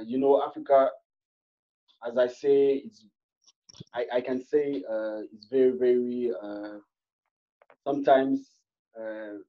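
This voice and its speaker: A man from Cameroon, a guest in a panel discussion, who talks in a halting way with many fillers and restarts. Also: medium pitch. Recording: muffled online call audio.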